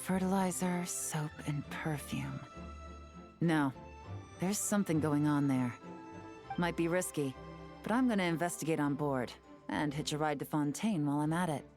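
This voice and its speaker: Actress doing a low cool confident spy lady for a game, composed n shrewd, faint music. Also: darkish tone, lightly acted. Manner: composed, measured, coolly confident. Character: poised, shrewd woman investigator, confident and sly. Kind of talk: video game character voice acting.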